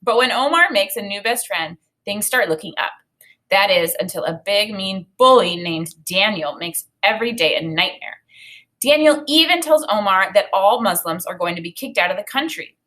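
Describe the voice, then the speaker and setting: Bright-voiced woman teacher reading aloud. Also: medium pitch, normal speed. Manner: enthusiastic, expressive, conversational. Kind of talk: children's read-aloud lesson, thinking aloud. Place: small home room, indoors.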